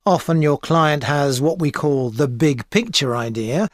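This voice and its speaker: A calm, measured female tutor. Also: deep voice, dry recording. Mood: advising. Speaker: tutor advising a student. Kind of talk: scripted exam dialogue. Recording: dry studio recording.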